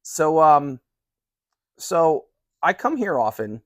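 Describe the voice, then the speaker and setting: A man's voice: a little high, sing-song, slow pace, no echo. Man in his forties, casual and close-sounding. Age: 40s. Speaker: cigar reviewer and video host. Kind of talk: casual chat.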